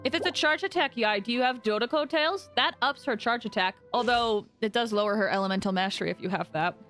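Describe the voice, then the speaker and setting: A woman chatting casually on a game stream, giving animated reactions to what she sees. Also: a little high, quick pace. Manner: chatty, animated reactions, breaks into singing and laughter. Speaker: livestreamer. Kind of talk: casual live gaming commentary with chat. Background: game music.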